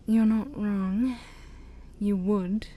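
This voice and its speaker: A woman playing an ancient demon princess, haughty yet shy, in a soft-spoken ASMR roleplay that often drops to a whisper. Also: mid-range voice, steady tone, drawn-out words. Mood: puzzled, annoyed. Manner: formal, teasing, hesitant, sighing.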